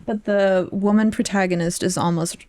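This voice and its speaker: A woman's voice: mid-range voice, steady tone, normal speed, darkish tone, dry recording. A woman podcast host chatting informally about books, opinionated and emphatic, happy to swear. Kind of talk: casual opinionated book discussion.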